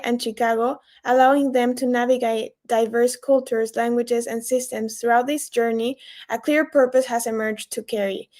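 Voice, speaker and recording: A woman moderating an online session, reading out a speaker introduction with a few stumbles. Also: a little high. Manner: warm scripted intro, some stumbles.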